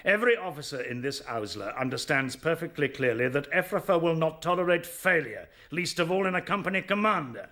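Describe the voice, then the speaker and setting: A man's voice: on the high side, lively intonation, fairly quick, hardly any pauses, pushed and bright. A man playing a merciless rabbit general in a radio drama, commanding, formal and severe. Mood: cold, stern.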